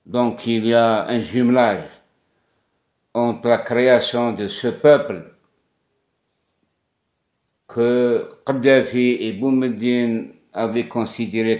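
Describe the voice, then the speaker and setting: A man speaks slowly and deliberately in a mid-range voice. He delivers a political monologue with insistence and often repeats a word to hammer it home. His voice rings in a roomy, echoing space. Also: medium pitch, darkish tone. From Kabylie, Algeria. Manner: emphatic, insistent, repeats words for stress. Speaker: political commentator. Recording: echoey room.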